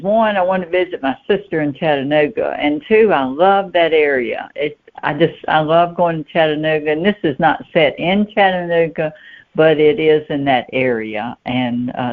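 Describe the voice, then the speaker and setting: A woman's voice: sits low, moderate pace. Woman on a thin phone line. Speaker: guest novelist. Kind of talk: conversational interview answers.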